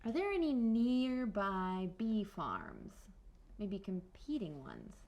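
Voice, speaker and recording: A woman's voice: medium pitch, huge pitch swings, slow pace, darkish tone. A woman playing a tabletop game, casually improvising and thinking aloud in a tentative way.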